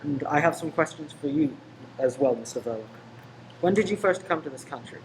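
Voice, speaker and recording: A man's voice: a little high, expressive, slight background noise, close to an everyday voice, poor audio. Man playing a formal, courteous investigator. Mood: measured, official. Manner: measured, courteous, official. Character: polite, formal, probing investigator. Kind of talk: radio play acting.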